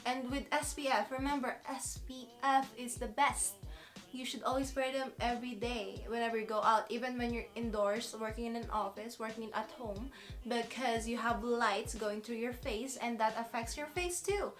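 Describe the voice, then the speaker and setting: A woman's voice: fairly high pitch, moderate pace, soft background music. A woman in her twenties with a Filipino accent, chatting casually and giggling as she talks about skincare. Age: 20s. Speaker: beauty vlogger. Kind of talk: casual chat to camera.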